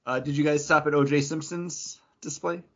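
A man's voice: a little high, steady tone, moderate pace, fairly bright tone. A man co-hosting a pop culture podcast, chatting in a jokey, conversational way. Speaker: podcast co-host. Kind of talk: casual pop culture chat. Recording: dull, lo-fi podcast audio.

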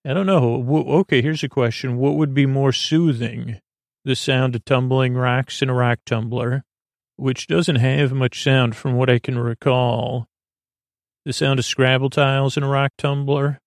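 A man hosting a bedtime podcast in a creaky, soothing voice, rambling through improvised tangents with mumbles and stumbles over his words. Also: medium pitch, fairly even intonation, unhurried, no room sound, studio quality. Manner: soothing, lulling delivery. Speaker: podcast host.

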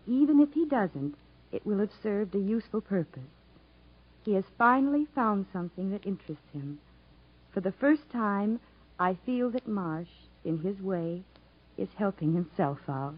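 A woman's voice: medium pitch, animated, normal speed, slightly rough. A woman acting an earnest, tender character reading a letter aloud in a 1950s radio drama. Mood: tender, reflective. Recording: tinny, narrow-band 1950s radio broadcast.

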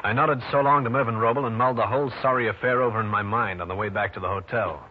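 An actor playing a tough, wisecracking private detective in an old-time radio drama, brusque, slangy and sardonic. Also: male voice, medium pitch, fairly even intonation, fast talker, natural voice. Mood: matter-of-fact narrating. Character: tough, wisecracking New York private detective, brash and hard-boiled. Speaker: lead actor and narrator. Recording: noisy, muffled old radio recording.

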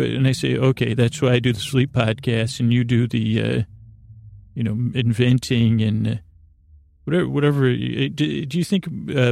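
A man hosting a sleep podcast in a creaky, soothing voice, meandering and halting through a lulling bedtime monologue full of digressions. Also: mid-range voice, darkish tone. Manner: meandering, halting, over-talking with many digressions. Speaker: podcast host.

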